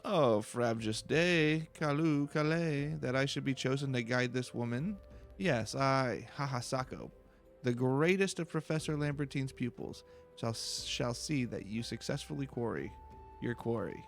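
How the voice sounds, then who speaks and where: Self-important male research student, game dialogue, performed by a man: florid, excitable, middle register with some fry. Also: medium pitch, a little slow, soft background music. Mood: gleeful, grandiose. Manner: grandiose, alliterative, theatrical. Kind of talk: video game dialogue read aloud.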